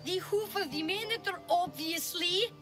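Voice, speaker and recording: A woman's voice: very high voice, a little slow. A woman voicing a young boy who is a confident, salesy tour guide and huckster, in a video game. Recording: game music underneath.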